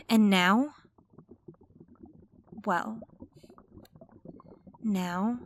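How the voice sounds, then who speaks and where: A woman playing an obsessive, possessive mermaid who lures a pirate down to her undersea palace, speaking in a slow, coaxing, intimate ASMR roleplay. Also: medium pitch, slight background noise. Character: possessive, obsessive female mermaid queen, young adult.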